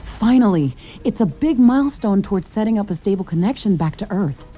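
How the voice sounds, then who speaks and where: An actress playing an earnest, curious scientist-commando who pilots a mecha suit in a sci-fi game, talking in a measured, thoughtful, professional way. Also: female voice, mid-range voice, expressive, mellow, soft background music, natural voice. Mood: pleased. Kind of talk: scripted sci-fi game dialogue.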